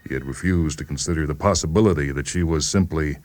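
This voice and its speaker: A man narrating a literary short story in a deliberate, brooding way with dramatic pauses. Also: very deep voice, a little mellow, dry recording. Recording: music behind the voice. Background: music.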